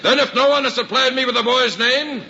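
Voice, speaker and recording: Harsh, commanding Roman officer, very high voice, contemptuous, old radio drama with long hall reverb. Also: male voice, brisk, pushed and bright, lo-fi sound, full character voice. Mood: menacing, contemptuous. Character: harsh Roman officer threatening a marketplace crowd. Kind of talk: 1950s radio drama acting.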